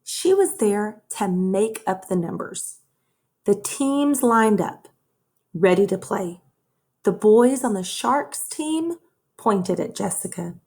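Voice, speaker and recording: A woman reading a children's story aloud in a measured, expressive way, as a teacher would for young listeners. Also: mid-range voice, slightly rough. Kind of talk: children's read-aloud narration.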